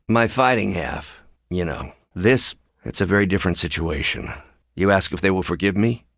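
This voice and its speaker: Low voiced american scientist hero for a game, kinda anxious n hesitant, dry wit, very dry close recording. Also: male voice, creaky, fairly bright tone, no room sound, full character voice. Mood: mild, wry. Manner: measured, hesitant, thoughtful, wry. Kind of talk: video game character dialogue.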